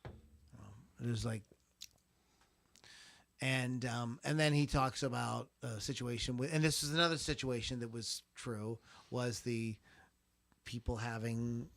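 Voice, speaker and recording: Bright-voiced man rambling casually. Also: mid-range voice, fairly even intonation, unhurried, creaky, long pauses. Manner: rambling, full of fillers and restarts; loose and profane. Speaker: podcast host. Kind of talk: casual chat about songs.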